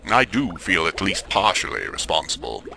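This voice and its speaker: Mad doctor for horror radio spoof, male actor: genteel, sinister, pompous, low-pitched with animated swoops. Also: moderate pace, vocal fry, slight background noise, exaggerated voice, low-quality recording. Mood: pompous, unruffled. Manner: pompous, formal, wordy. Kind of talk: parody horror radio drama.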